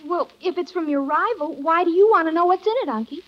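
A woman's voice: extremely high pitch, fast. An actress playing a cheerful young niece and mother in a 1950s radio sitcom. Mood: curious. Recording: muffled vintage radio recording.

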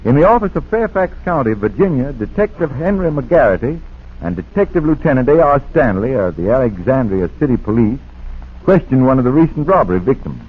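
A man's voice: medium pitch, sing-song, a little fast. An actor playing an authoritative male police chief narrating a case in scripted 1940s radio style. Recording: tinny, rough 1940s radio recording.

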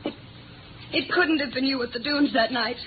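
A frightened American woman, played by a radio actress, anxious and halting as she pleads. It is 1950s radio melodrama, muffled and with plenty of noise. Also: sits high, natural voice. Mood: frightened, pleading. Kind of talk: 1950s radio drama acting. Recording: noisy, muffled old radio broadcast.

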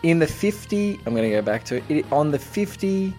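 A man's voice: fairly high pitch, sing-song, brisk, darkish tone, soft background music. A man hosting a film podcast in a giddy, effusive, emphatic way. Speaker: podcast host. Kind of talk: enthusiastic film podcast introduction.

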